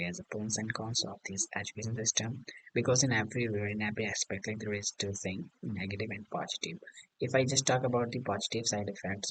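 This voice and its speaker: A man's voice: lowish voice, no room sound, low-quality recording. A man with an Indian accent practicing English in a casual video call, hesitant and full of "like" fillers. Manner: hesitant, many "like" fillers, laughs easily.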